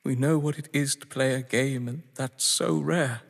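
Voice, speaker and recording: A man acting a bitter, possessive husband in an audio drama, with deliberate, theatrical, articulate delivery. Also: medium pitch, fairly even intonation, gravelly. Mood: desperate pleading. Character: bitter, possessive male crime-story lover, pleading then menacing. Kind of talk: dramatic stage-play acting. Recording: long echoing reverb.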